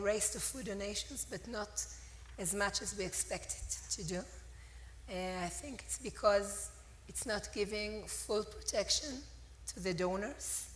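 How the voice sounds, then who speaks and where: A middle-aged woman who has lost her voice, speaking on a conference panel with halting, word-searching English. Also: medium pitch, a little hiss or hum. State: hoarse, losing her voice. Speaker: panelist, legal and government relations lead. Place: conference hall. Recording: echoey hall with faint ambience.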